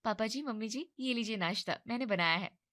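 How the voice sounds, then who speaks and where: A woman's voice: a little high, fairly bright tone, natural voice. A woman voicing a cheeky, outspoken young woman who switches between sweet politeness and sass. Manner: cheeky, quick-tongued.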